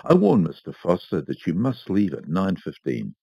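A man voicing a calm, courteous male butler who speaks in a polite, reassuring, measured way. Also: deep voice, gravelly, no echo, lo-fi sound, full character voice.

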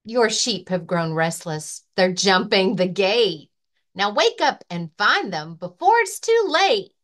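Expressive woman reading slowly to children. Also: mid-range voice. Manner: expressive, rhythmic reading of rhyming verse. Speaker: librarian and story-time reader. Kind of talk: children's picture-book read-aloud.

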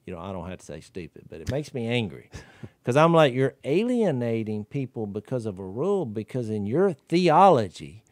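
A man, co-hosting a podcast, talking in an animated, riled-up way on a studio mic. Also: medium pitch, normal speed, no echo. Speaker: podcast co-host. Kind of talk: casual religious discussion. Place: wood-paneled studio room. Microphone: studio mic with headphones.

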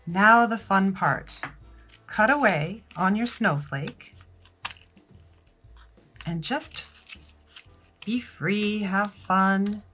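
A woman in her sixties giving plain, measured step-by-step instructions for a paper craft. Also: mid-range voice, bright, forceful tone, faint music behind. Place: wood-cabinet room indoors, at a craft table.

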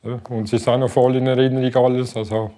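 A man's voice: medium pitch, little pitch movement, fast talker, mellow, faint music behind. A man in his fifties with a Swiss German accent, chatting eagerly in a home interview with easy fillers. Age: 50s. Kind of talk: casual interview chat. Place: living room indoors.

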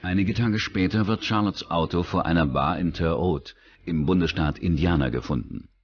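A man's voice: low voice, a little mellow, husky, highs cut off. A man reading a scripted true-crime documentary as its narrator.

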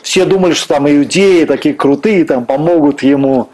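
A man's voice: a little high, moderate pace, a little mellow, poor audio. A middle-aged man from Russia, a guest minister speaking earnestly and preacherly about faith on a church stage. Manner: fervent, preacherly, quotes scripture. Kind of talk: earnest faith-based interview talk.

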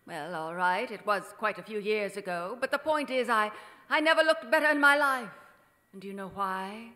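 A woman's voice: medium pitch, animated, moderate pace, bright, forceful tone. A woman playing an imperious, needy, aging silent-film star in grandly theatrical radio drama style. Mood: excited, imperious. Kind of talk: old-time radio drama acting. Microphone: stand microphone on stage. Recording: live theater stage, long reverb.

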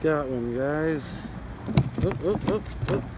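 A man's voice: on the high side, expressive. A man casually talking to viewers while fishing on a riverside dock. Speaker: fishing vlogger. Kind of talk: casual outdoor fishing vlog. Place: outdoors on a riverside dock by rapids. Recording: outdoor camera audio with loud river noise. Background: rushing river water.

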